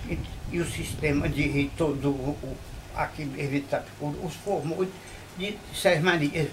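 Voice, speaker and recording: An older man from Bahia, telling local history in an interview at an old mansion, unhurried and full of tag questions. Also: on the high side, fairly even intonation, a little hiss or hum, low-quality recording. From Bahia, Brazil. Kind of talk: reminiscing interview.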